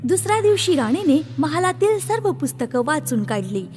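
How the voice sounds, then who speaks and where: Female children's narrator: high register, animated storytelling, prominent background music. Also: normal speed.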